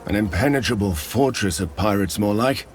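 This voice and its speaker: A man's voice: on the low side, expressive, moderate pace, soft background music, lightly acted. A man playing a seasoned, wry Roman centurion and mentor in a video game, bluff and commanding. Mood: commanding, instructive. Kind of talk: scripted video game acting.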